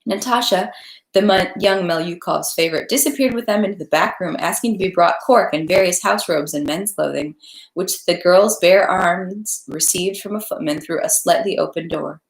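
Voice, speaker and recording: A woman with a fairly low voice and a good deal of fry hosts a read-aloud. She is informal and chatty, and expressive when narrating. The recording is roomy, with clear echo. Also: normal speed. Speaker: host reading a novel aloud for online viewers. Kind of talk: casual introduction, then expressive storybook narration.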